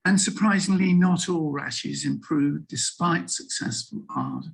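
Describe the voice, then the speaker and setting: An older man in his sixties speaks over a video call, his voice rough and gravelly, breathy and husky from a sore throat. He explains things in a measured, steady way, with frequent ums, like a physician teaching doctors, and his voice sits slightly higher than most men's. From England. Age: 60s. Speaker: guest lecturer, HIV physician. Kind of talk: online medical lecture. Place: home room with empty shelves and packing boxes.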